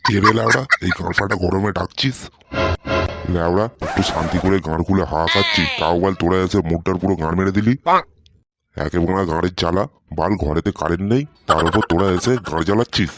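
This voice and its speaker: A man's voice: low voice, fast, loud music behind the voice. A man voicing a foul-mouthed, irritable old village grandpa in a comedy cartoon, scolding stubbornly. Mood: annoyed. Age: older. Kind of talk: Bengali comedy cartoon voice acting. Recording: noisy audio with clicks.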